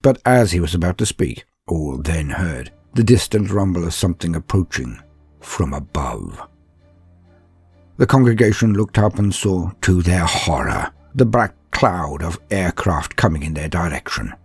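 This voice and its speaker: Husky man narrating with dramatic swings. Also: sits low, very animated, no room sound, faint music behind. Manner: grave, theatrical, deliberate phrasing. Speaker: storyteller. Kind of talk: dramatic scripted fantasy war story narration.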